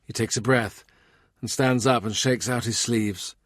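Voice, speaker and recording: A man narrating a historical novel as an audiobook, with measured, deliberate literary phrasing. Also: medium pitch, fairly even intonation, a bit bright, dry recording.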